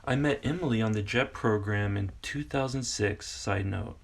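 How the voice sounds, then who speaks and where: A man in his forties from Kansas, a teacher casually explaining classroom games in a rambling, halting way full of fillers. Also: lowish voice, vocal fry. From Kansas, United States. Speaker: English teacher and video host. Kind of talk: casual tutorial talk.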